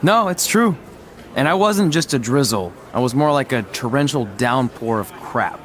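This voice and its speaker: An actor playing an eager, joking young pro wrestler in a video game cutscene. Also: male voice, medium pitch, animated, moderate pace, a bit bright, slight background noise, natural voice. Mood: easygoing. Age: young adult.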